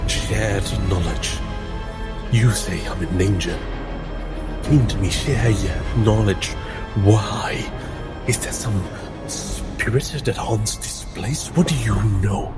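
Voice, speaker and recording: A man improvises in-character dialogue for a tabletop game. His voice sits a little low and he phrases things haltingly and earnestly. Prominent music plays loudly behind him. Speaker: tabletop roleplay player.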